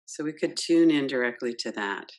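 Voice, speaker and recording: A woman leading a guided yoga nidra meditation, gentle and unhurried, guiding with soft questions. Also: rumbling low, lively intonation. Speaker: meditation teacher.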